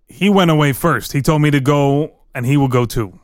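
Woman doing a guy confessing in jail, really low voice, short evasive answers, broken english, dry sound, dramatic reading. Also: moderate pace, no room sound, close to an everyday voice. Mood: flat, evasive. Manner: terse, clipped answers in simple, broken English. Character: male German immigrant prisoner confessing to murder, terse and evasive. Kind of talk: dramatic reading of an interrogation transcript.

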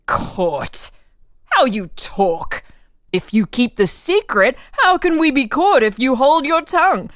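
A woman's voice: sits high, big pitch swings, slow pace, no echo, studio quality, full character voice. A woman playing a greedy, cocky male thief in a dramatic reading, with a theatrical, insinuating delivery. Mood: scoffing, confident. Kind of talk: dramatic reading of an old crime tale.

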